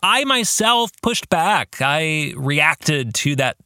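A man hosting a reflective book-club podcast as its author, speaking in deliberate phrases and addressing the listener directly. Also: on the high side, sing-song, pushed and bright, clear, resonant tone, no echo, studio quality. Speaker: podcast host and author discussing his own book.

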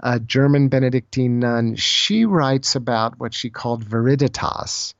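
A man talks in a dry, close, slightly dull lo-fi recording. He explains things as a guest in conversation, thoughtful and halting, often circling back over a phrase before finishing it. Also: mid-range voice, gravelly, no room sound. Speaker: podcast guest, author on Celtic spirituality.

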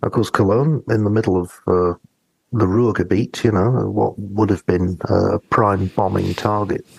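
A man co-hosting a podcast, talking casually about books and telling personal anecdotes in a hesitant, reflective, halting way. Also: on the low side, steady tone, gravelly, darkish tone, breathy edge, no room sound, rough recording. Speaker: podcast co-host.

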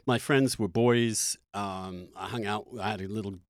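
A man telling personal stories in conversation, halting yet animated, with casual swearing. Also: fairly low pitch, no echo, clean studio sound.

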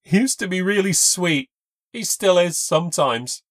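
A distraught young woman who clings to her boyfriend and won't hear a word against him, put on by a male narrator. Her voice rides high and teary, pleading and loud. The story is read aloud by a single storyteller. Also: animated, moderate pace, no room sound, clean studio sound, natural voice. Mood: tearful.

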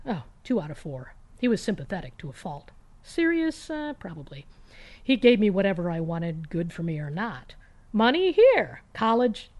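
A woman's voice: fairly low pitch, a little mellow. A woman reading a first-person short story in a conversational, wry and emphatic way, with clipped phrases, as if talking to someone.

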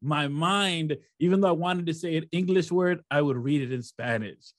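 A man from Rochester, New York, hosting a podcast and asking measured, reflective questions. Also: on the high side, dry recording, clean studio sound. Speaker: podcast host. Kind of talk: interview questions.

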